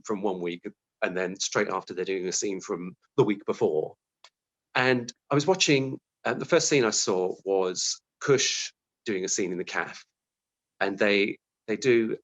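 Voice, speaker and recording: Lower-pitched man in thoughtful casual conversation. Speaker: interview guest, television scriptwriter. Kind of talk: casual interview chat.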